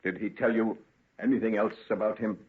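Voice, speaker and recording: An actor playing a tormented, brooding gentleman scientist in an intense, melodramatic golden age radio drama style. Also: lowish voice, steady tone, brisk, natural voice. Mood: dark, guarded. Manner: intense, melodramatic, grandiose phrasing. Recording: tinny band-limited vintage radio recording.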